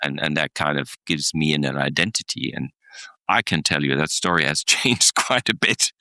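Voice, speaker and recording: A very deep, gravelly-voiced middle-aged man speaks as a podcast guest. His tone is bright and forceful, and the recording is close and dry. He tells stories in a reflective way, restarts often, and chuckles between thoughts. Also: expressive, moderate pace, dry recording. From Germany. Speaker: guest, executive coach. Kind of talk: podcast interview, reflective storytelling.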